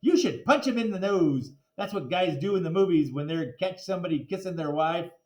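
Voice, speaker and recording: A man voicing an indignant, excitable second-grade boy from a storybook, blurting out protests. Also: high voice, a little fast, voice pushed up. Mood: loud disgust. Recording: echoey, roomy sound.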